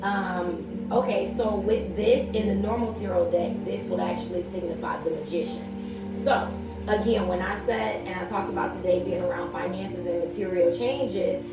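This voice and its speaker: A woman giving a casual daily tarot reading in a chatty, spiritual way, speaking straight to her viewers, her voice a little raspy and scratchy today. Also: on the low side, moderate pace, recorded from a distance. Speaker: tarot reader. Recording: very echoey room, voice off-mic, loud music behind.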